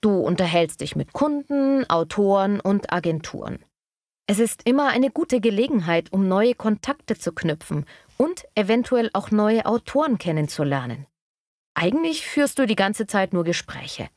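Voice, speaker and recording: A woman playing a friendly publishing employee in a scripted language-lesson dialogue, speaking courteously and with clear enunciation. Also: medium pitch, very animated, moderate pace, darkish tone, no room sound, clean studio sound. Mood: encouraging.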